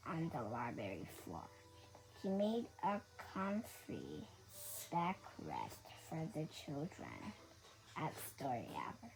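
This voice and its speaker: A woman reading a children's book aloud in a halting way, often repeating and correcting her words. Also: lowish voice, a little mellow, poor audio. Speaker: storybook reader. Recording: faint background noise.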